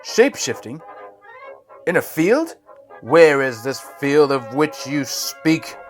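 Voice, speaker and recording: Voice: mid-range voice, very animated, slow, faint music behind. An actor playing a pompous, haughty lizard man in an audio comedy drama, speaking in formal, scornful phrases. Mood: indignant, baffled. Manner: pompous, indignant, formal speech. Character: pompous, haughty shape-shifting lizard man, contemptuous of humans.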